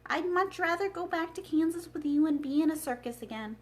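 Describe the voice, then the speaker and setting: Woman acting out an emphatic, high-pitched weary humbug wizard. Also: lively intonation, brisk. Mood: weary, confiding.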